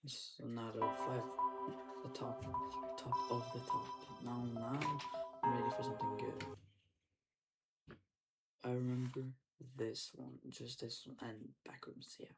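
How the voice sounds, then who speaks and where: A man's voice: medium pitch, flat delivery, a little slow, gravelly, long pauses, loud music behind the voice, low-quality recording. A man giving casual reaction commentary in loose, informal, repetitive remarks. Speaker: reaction video creator.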